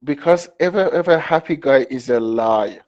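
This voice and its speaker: A man's voice: medium pitch, animated, moderate pace. A man hosting a casual podcast in a wry, teasing way. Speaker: podcast host. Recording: slightly dull, lo-fi audio.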